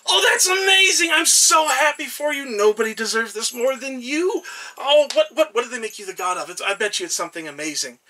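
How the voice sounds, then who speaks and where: A man voicing a small, devoted male shrine god who talks in an anxious, excitable, affectionate way. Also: high-pitched, normal speed, slight background noise, poor audio. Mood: excited.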